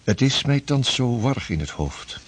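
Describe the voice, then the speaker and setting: An actor playing a devout, grieving Dutch sea captain in a period radio drama, solemn, formal and halting, with a husky voice. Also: male voice, mid-range voice, very animated.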